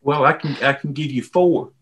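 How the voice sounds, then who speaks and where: Higher-voiced middle-aged Southern man. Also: normal speed, fairly bright tone. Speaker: volunteer interviewee. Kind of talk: conversational personal storytelling.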